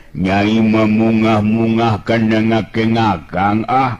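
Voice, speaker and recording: A man's voice: lowish voice, darkish tone, highs cut off. A man voicing a good-natured, bashful, joking male sidekick in a storytelling, with nasal, garbled speech and a chuckling drawl.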